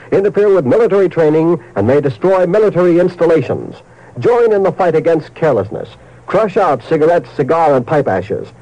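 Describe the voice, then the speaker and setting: A man's voice: fairly high pitch, warm tone, muffled recording. A man reading a public service announcement in an earnest, emphatic declamation, on an old broadcast. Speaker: radio announcer. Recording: old radio broadcast with hiss.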